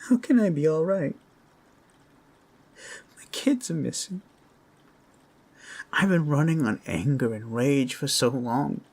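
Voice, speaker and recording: A man's voice: a little high, takes it slowly, long pauses, darkish tone, breathy edge, full character voice. A man playing an anxious, grieving raccoon father in a fantasy roleplay, speaking in a hesitant, emotional way. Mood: distraught. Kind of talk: dramatic roleplay audio acting. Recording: faint storm noise behind. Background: thunder and storm ambience.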